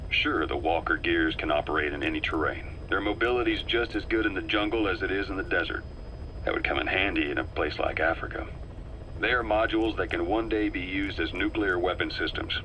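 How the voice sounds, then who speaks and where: An actor playing a serious, analytical military intelligence man in a video game, grave and deliberate. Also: sits low, sharp tone, slight background noise, rough recording. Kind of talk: video game briefing dialogue.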